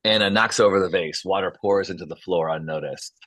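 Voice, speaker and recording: A man's voice: low voice, a little fast, a bit bright, no room sound. A man hosting and narrating a script table read in an informal style. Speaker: host and stage-direction reader. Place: video call.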